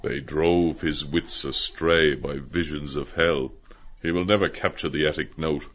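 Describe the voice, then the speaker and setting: Man doing a mocking witty young man, low voice, theatrical, muffled lo-fi sound. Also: fairly even intonation, a little slow, a bit bright, exaggerated voice.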